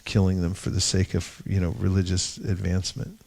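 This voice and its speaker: Man with a lowish voice, leading a scripture discussion, anecdotal, dry humor. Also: flat delivery, brisk, vocal fry, a little mellow. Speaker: discussion leader. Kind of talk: devotional class discussion. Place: gathering in India.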